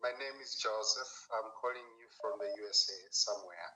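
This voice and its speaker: A man calling in, politely and haltingly putting a formal question. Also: fairly low pitch, bright, forceful tone, dull audio, a little hiss or hum. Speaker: caller. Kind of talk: formal, hesitant question to guest.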